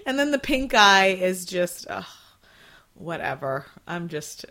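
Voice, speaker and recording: Woman, forties, solo podcast host; relaxed, rambling chat, a bit low-pitched, voice still scratchy from a recent cold. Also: slow. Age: 40s. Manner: chatty, rambling, with fillers and soft laughs. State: slightly scratchy voice, clearing throat after illness.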